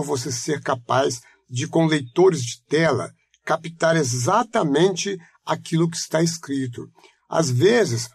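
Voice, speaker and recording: An older man in his seventies with a Rio de Janeiro accent gives a measured, reflective testimony. His voice is raised and gravelly, with a husky edge. The recording is dry and close. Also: fairly high pitch, dry recording. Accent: Rio de Janeiro Portuguese. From Brazil. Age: 70s. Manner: measured, reflective, explanatory, frequent "né?" tags. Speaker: interviewee, retired teacher. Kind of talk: oral history testimony.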